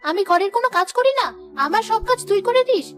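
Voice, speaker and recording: A quick, high-pitched, sharp-tongued stepmother, played by a woman, sarcastic and scolding. The delivery is cartoon-dub fast, with music behind. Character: harsh scolding stepmother, middle-aged woman. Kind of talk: cartoon dubbing.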